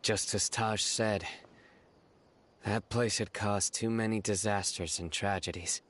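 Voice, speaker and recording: An actor voicing a calm, resolute enforcer of justice in a video game, speaking in a measured, solemn, deliberate way. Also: male voice, fairly low pitch, a little hiss or hum. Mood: grave, resolute. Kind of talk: scripted video game dialogue acting.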